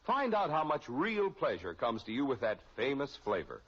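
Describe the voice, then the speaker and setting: Male American commercial announcer, mid-pitched, husky, persuasive vintage radio sell, muffled sound. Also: wildly swooping pitch, moderate pace, a bit bright. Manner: persuasive, polished salesmanship. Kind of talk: 1950s radio advertising read. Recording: muffled old radio recording.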